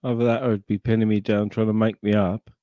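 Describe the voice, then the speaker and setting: A man's voice: on the low side, little pitch movement, quick pace, mellow, no echo, studio quality. A middle-aged man from Sussex with an English accent, chatting casually and swearing on a live stream into a desk microphone. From England. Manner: sweary, rambling banter. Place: home, live stream call.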